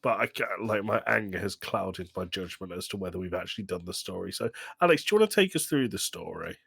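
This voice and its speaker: Male podcast co-host, slightly deep, dry studio-like sound, casual conversational delivery. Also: animated, quick pace, creaky, a bit bright, breathy edge, no echo. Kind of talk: casual chat.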